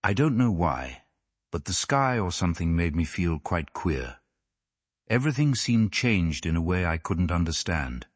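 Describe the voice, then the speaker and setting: Man reading as young city clerk husband, mild, dreamy, wistful rambling storyteller, deep voice, literary audiobook. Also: sing-song, unhurried, heavily acted.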